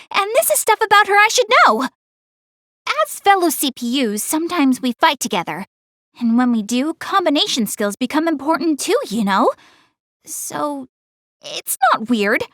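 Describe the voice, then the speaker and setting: A woman's voice: very high-pitched, a bit bright, no room sound, clean studio sound, exaggerated voice. A woman voicing a young anime goddess girl in a video game, proud, chatty and earnest, easily flustered. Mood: flustered, defensive. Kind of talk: English anime video game character dialogue.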